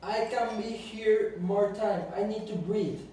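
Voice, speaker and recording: Casting: man as earnest puppet sea creature, high voice, halting non-native English phrasing, heard distantly in a very echoey room. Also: fairly even intonation, warm tone, a little hiss or hum. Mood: uneasy. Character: earnest older sea creature, brave spokesman for the sea. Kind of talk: amateur puppet show acting.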